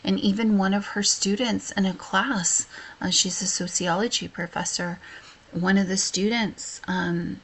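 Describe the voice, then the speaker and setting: An American woman giving an informal lecture as a college instructor, speaking earnestly in a halting way with frequent pauses. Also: medium pitch, fairly even intonation, husky, rough recording.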